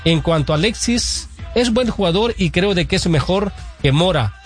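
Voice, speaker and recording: Radio sports host, a man with a fairly high voice, reads listener texts in an easy way, faint music behind. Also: normal speed. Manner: reads messages aloud, relaxed banter.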